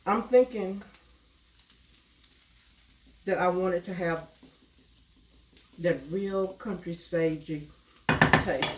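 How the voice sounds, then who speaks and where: A woman's voice: fairly low pitch, background noise. A middle-aged woman, chatting homily to viewers in a cooking vlog, her voice stuffed up and nasal from bronchitis. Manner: chatty, homey, talks to viewers as y'all. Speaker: cooking channel host. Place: home kitchen at the stove. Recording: roomy kitchen with loud sizzling.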